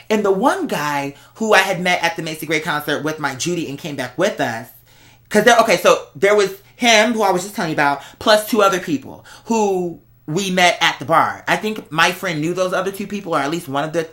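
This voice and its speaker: A vlog host chatting casually and candidly to viewers, telling stories in an animated, slangy way. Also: medium pitch, fairly quick. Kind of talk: casual confessional chat to viewers.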